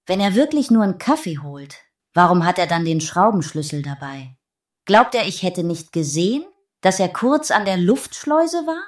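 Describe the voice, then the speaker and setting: Woman as a wary, animated spaceship crew member. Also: fairly low pitch, normal speed. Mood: wary suspicion. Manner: questioning, wary. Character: suspicious, distrustful female spaceship crew member. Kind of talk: radio play satire.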